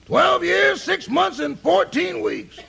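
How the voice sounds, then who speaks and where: An actor playing a loyal, wisecracking valet in a 1950s radio comedy, raspy and emphatic with comic timing. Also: male voice, very high voice, big pitch swings, slow, sharp tone, soft background music, exaggerated voice. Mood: wry. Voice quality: raspy, gravelly. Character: loyal wisecracking male valet chatting with a porter.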